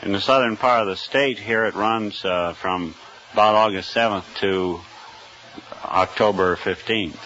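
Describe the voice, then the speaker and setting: A man who is a game warden answers as a radio quiz contestant, earnest and stiff, halting with many uhs. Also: fairly low pitch, bright, forceful tone. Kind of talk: hesitant guest answers. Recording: dull archive radio recording.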